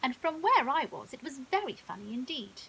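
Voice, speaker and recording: A clever, teasing female crocodile, voiced by a woman reading a bedtime story. She is high and lilting, smugly assured and polite, over a faint hiss. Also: sing-song, normal speed, a bit bright, heavily acted, low-quality recording. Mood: amused. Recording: audible hiss.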